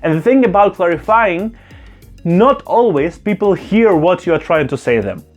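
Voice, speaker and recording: A man's voice: sits high, expressive, normal speed, faint music behind. A man, a non-native English speaker, vlogging to camera indoors in an earnest, emphatic way, repeating phrases for stress. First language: not German; Russian-speaking background. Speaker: YouTuber. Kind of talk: direct-to-camera opinion vlog.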